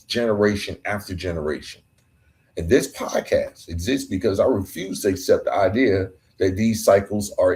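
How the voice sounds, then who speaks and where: A man hosting a podcast, delivering an earnest reflective monologue that builds through emphatic repeated phrases. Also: fairly low pitch. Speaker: podcast host.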